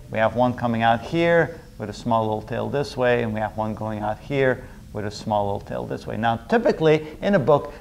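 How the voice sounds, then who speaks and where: A man's voice: medium pitch, a little mellow. A middle-aged man lecturing at a whiteboard, explaining step by step and often repeating his phrases. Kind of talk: chalk-talk chemistry lecture at a whiteboard. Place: indoor room with a whiteboard.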